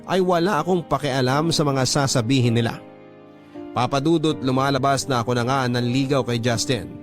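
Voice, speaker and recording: A man reading a listener's letter as scripted first-person story narration, as the host of a storytelling show. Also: mid-range voice. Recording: music behind the narration.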